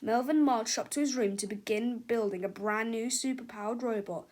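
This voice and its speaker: A woman's voice: a little high, moderate pace, a little mellow. A woman reading a children's book aloud as scripted narration.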